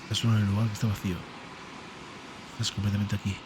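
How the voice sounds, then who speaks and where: A man's voice: on the low side, level tone, rapid-fire, noisy background. A man hosting a gaming video, giving casual live commentary and translating the game aloud. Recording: game music behind the voice.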